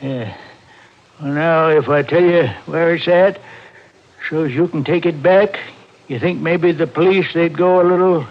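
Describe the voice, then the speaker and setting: An actor playing a shifty, self-pitying old backwoods man in a radio drama, with rural American speech and a halting, evasive manner. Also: fairly high pitch. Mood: reluctant. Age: older. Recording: muffled vintage radio recording.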